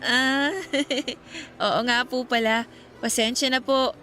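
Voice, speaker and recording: A woman's voice: high voice, fairly even intonation, slow pace, sharp tone, faint music behind, heavily acted. A woman playing a sulky, shy eighteen-year-old student girl with a Filipino accent in a radio drama, with a girlish, conversational delivery. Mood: shy, polite.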